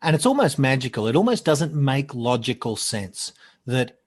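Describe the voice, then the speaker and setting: A man chatting as a podcast guest, loud and animated, rambling through stories and correcting himself as he goes. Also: medium pitch, moderate pace. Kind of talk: casual chat.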